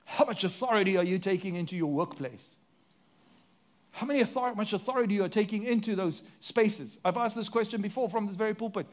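A man with a South African accent delivers a sermon from the pulpit. His voice sits high for a man. He throws rhetorical questions at the congregation and moves between emphatic lines and quieter, hushed ones. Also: a little fast. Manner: preaching with sweeping rises and drops, rhetorical questions. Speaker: pastor.

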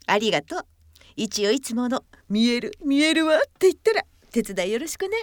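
A woman's voice: on the high side, big pitch swings, fairly quick. A woman voicing a tired, bossy female fortune teller who complains about her workload, in a voice drama. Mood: businesslike. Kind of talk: voice drama acting.

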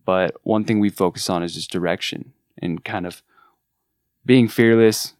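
Very deep-voiced Californian man. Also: a little slow, a little mellow, smooth, no echo, studio quality. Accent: Californian surfer accent. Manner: earnest, enthusiastic, with frequent restarts. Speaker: artist manager. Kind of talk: casual podcast chat.

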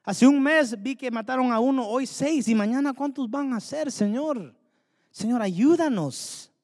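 A man's voice: very high voice, animated, unhurried, darkish tone. A man preaching a sermon, fervently asking the congregation rhetorical questions. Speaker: pastor. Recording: long hall reverb.

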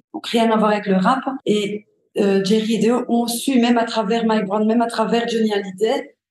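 Female care worker interviewee, mid-pitched conversational delivery, echoey room sound. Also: darkish tone.

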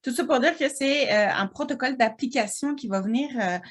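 A woman's voice: high voice, brisk. A woman with a Québécois accent co-hosting a casual podcast, chatty and giggly, with plenty of fillers. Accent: Québécois French. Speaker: podcast co-host. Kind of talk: casual chat.

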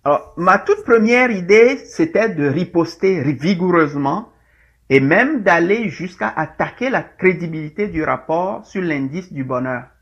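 A man's voice: high-pitched, expressive, measured pace, husky. A middle-aged man from Togo, giving a presentation in a hesitant way with many fillers and restarts. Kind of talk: presentation talk. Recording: lo-fi with dull sound.

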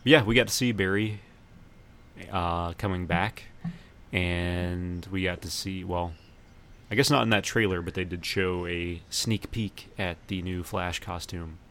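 A man hosting a podcast in casual chat, often pausing partway through his sentences. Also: deep voice, fairly even intonation, a bit bright. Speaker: podcast host. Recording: noticeable background noise.

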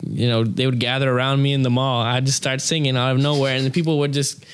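A man's voice: mid-range voice, level tone, fast talker, clear, resonant tone. A young man who sings opera, talking casually in an interview, rambling with many fillers. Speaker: opera singer, interview guest.

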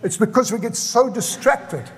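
An older man with a South African accent preaches an earnest sermon, driving his points home by repeating them. His voice sits high for a man. The sound carries a long reverb, as in a large hall. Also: moderate pace. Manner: earnest, repeats phrases for emphasis. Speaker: pastor.

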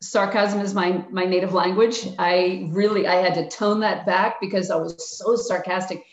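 A woman's voice: fairly low pitch, fairly even intonation, normal speed. A woman, a retired basketball coach answering interview questions frankly and plainly. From Philadelphia. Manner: frank, plainspoken, acts out quotes of herself and players. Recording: echoey, dull-sounding room.

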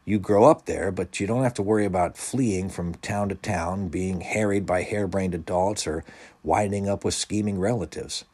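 A man voicing an earnest boy with plans to pitch, explaining persuasively in a storybook reading. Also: normal speed, darkish tone, husky. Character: earnest, scheming boy, eager to persuade his friends.

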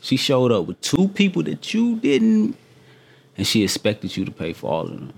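Animated, swearing man in heated banter. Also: medium pitch, normal speed, gravelly, breathy edge. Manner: profane and emphatic, repeats phrases for emphasis. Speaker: podcast co-host.

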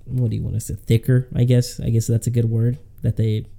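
A man's voice: medium pitch, flat delivery, brisk, gravelly, dark tone. A man co-hosting a podcast, chatting casually in a slangy, rambling way. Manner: slangy, rambling, says "man" and "dude" often. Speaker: podcast co-host.

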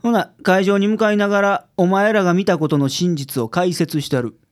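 A man's voice: high voice, steady tone, normal speed, lightly acted. A man voicing a blunt, terse private detective with a Kansai accent in a radio drama. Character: gruff local private detective, middle-aged man.

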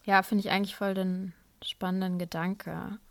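A woman's voice: medium pitch, level tone, normal speed. A woman co-hosting a podcast, musing thoughtfully about longing and identity with casual fillers. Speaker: podcast co-host.

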